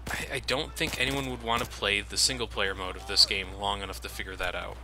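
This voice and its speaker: A man's voice: fairly low pitch, steady tone, a little fast, noisy background. A man giving casual, sardonic, sweary commentary as he plays a video game. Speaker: video game let's player and host.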